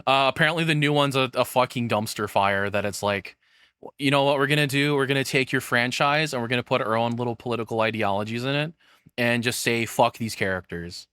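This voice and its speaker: A man reacts like a streamer, chatting casually and bluntly with plenty of swearing. His voice is bright and forward, recorded up close and very dry, with no room sound around it. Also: mid-range voice, a little fast, smooth, no room sound.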